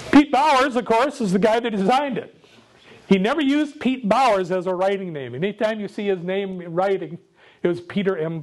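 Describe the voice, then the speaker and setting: An older man with a loud voice gives a casual, jokey talk to a club meeting, speaking without a microphone. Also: high voice. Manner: jokey, self-deprecating, chatty with laughs. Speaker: guest speaker.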